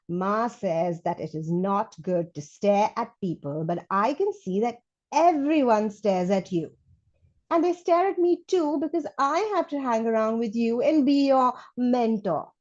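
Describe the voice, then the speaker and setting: Lady reading as a grumpy curious school kid in a storybook, expressive and complaining, kinda dull lo-fi sound. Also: fairly high pitch. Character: resentful, curious schoolchild writing letters to a new classmate. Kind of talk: read-aloud children's storybook.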